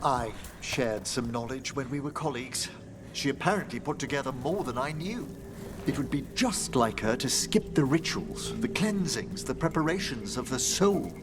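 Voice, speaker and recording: An actor playing a gentle, wistful, scholarly older necromancer in a fantasy video game, with formal, measured, eloquent phrasing. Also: male voice, medium pitch, animated, lightly acted. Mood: grave. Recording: game music playing behind.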